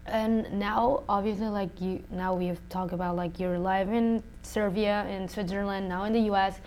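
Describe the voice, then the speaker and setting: A woman's voice: mid-range voice, steady tone, normal speed, a little mellow. A woman interviewer asking questions in a casual, conversational style.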